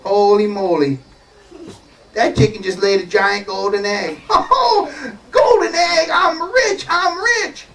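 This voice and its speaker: A storyteller playing an excitable, innocent young boy in a children's tale, recorded at a distance with noise. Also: mid-range voice, a little slow, gravelly, long pauses. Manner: wide-eyed, exclamatory. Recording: noisy, distant microphone.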